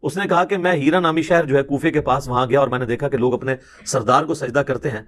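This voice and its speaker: A man's voice: mid-range voice, fairly even intonation. A man with a Pakistani Punjabi accent giving an informal religious lecture in an emphatic, argumentative, rhetorical way.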